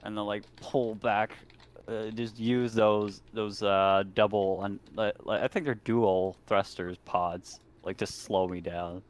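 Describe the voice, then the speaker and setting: A man's voice: on the low side, fairly even intonation, slow pace. A man playing a tabletop game, casually describing what his character does.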